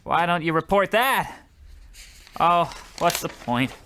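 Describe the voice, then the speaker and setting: A man's voice: fairly high pitch, expressive, takes it slowly. A man playing a meek, put-upon, poor postal worker who mutters fretfully in old-fashioned wording, in the style of old radio comedy. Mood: glum, resigned.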